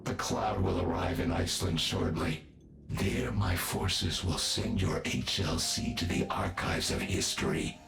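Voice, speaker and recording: A captive villain commander from a video game, smug and coldly menacing. His very low, gravelly voice taunts in slow, formal phrases. It echoes under loud music. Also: fairly even intonation. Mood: cold defiance. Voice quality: deep gravelly.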